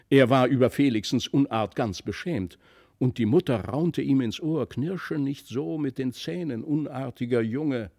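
A man narrating a literary fairy tale as an expressive audiobook reader. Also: mid-range voice, gravelly.

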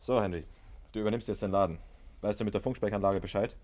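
An actor playing a brisk, matter-of-fact police corporal in a 1950s German radio drama. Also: male voice, lowish voice, darkish tone, close to an everyday voice. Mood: bossy.

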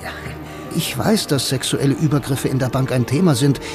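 An actor playing a blind, self-assured bank employee, confiding in the listener with dry irony in a radio drama monologue. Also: male voice, medium pitch, moderate pace, music in the background, close to an everyday voice. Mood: sardonic. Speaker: first-person narrator and lead actor.

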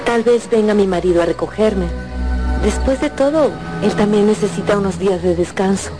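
Radio drama role: shy, well-bred young wife, female actor, medium pitch, coy and hesitant, prominent music behind. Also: normal speed, lightly acted. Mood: polite, confiding. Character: shy young married Russian lady, genteel, hesitant. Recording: loud music under the voice.

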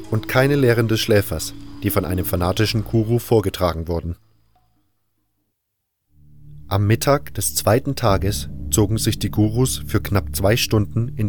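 A male narrator with a fairly low voice tells a fantasy story in the first person. It is scripted audio drama narration, and music runs clearly beneath his words. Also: darkish tone.

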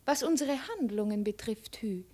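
An actress playing a cold, shrewd young princess with a commanding, ironic and probing manner, in 1950s radio drama style. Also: female voice, a little high, expressive, moderate pace, mellow. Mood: suspicious, probing. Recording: 1950s radio studio recording.

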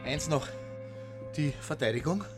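A man's voice: a little high, expressive, a little slow, music in the background. A man playing a curious, probing amateur detective in a radio play. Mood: impressed. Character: curious, probing male amateur sleuth, feigning admiration.

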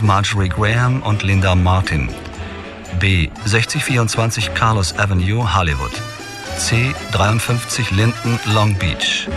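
Actor doing low voiced police guy reading a report, clipped n official, music behind him. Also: close to an everyday voice. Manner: impersonal, telegraphic. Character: man reading out a terse police case report. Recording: distant radio-like voice over music.

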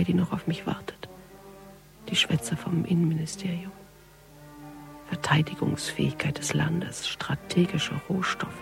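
Hushed, creaky, weary female investigator. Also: low-pitched, heavily acted. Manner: hushed, breathy, half-whispered. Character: weary, grieving female police investigator, inwardly brooding. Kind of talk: German radio crime drama, intimate inner monologue.

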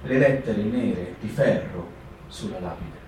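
A man giving a quiet, fragmented descriptive reading in a radio theatre piece. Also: fairly low pitch, measured pace, very echoey, far from the mic, a little hiss or hum, low-quality recording. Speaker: reader. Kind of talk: performed literary reading.

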